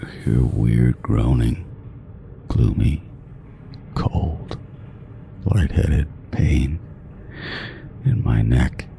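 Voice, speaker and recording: A man's voice: extremely low pitch, extremely slow, gravelly, pauses often, noisy background. A man narrating a horror story dramatically in the first person as a menacing, panicky serial killer, with a theatrical delivery. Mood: dazed, ominous. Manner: theatrical, swinging from brooding to frantic. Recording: music behind the voice.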